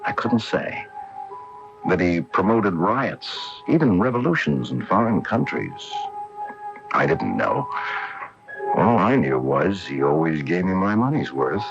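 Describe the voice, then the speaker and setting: Casting: low-voiced, animated widower with money, defensive, plain-spoken, old AM radio mystery. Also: male voice, a little slow, gravelly, exaggerated voice. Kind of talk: 1980s radio drama acting. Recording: dull AM radio recording with music.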